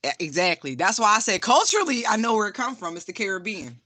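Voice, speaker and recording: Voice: medium pitch, very animated, fast talker, pushed and bright, no room sound. A tarot reader giving a casual spiritual reading, frank and profane, laughing often and repeating phrases for emphasis.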